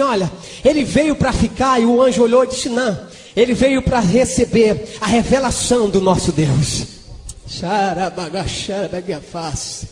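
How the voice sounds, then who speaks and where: A man with a Brazilian Portuguese accent preaching a Pentecostal testimony in a fervent, exclamatory manner. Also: very high-pitched. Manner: fervent, exclamatory, breaking into tongues. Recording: long hall reverberation.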